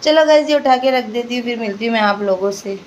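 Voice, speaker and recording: A woman's voice: on the high side, fast talker, roomy sound, far from the mic, slight background noise. A young woman chatting casually to her viewers in a home vlog, talking through her shopping and cooking at home. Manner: chatty, talks to her baby in a sing-song coaxing way. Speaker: family vlogger. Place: home kitchen.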